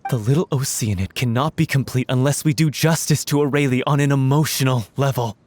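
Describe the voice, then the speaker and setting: A man's voice: medium pitch, brisk, darkish tone, slight background noise, close to an everyday voice. A man playing a solemn, resolute, lovelorn stage performer in a video game, speaking earnestly and formally.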